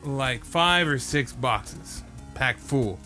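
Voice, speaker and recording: A man's voice: medium pitch, lively intonation, very slow, sharp tone, soft background music. A man co-hosting a podcast, chatting casually in a rambling way that circles back mid-thought. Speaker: podcast co-host. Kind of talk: casual chat.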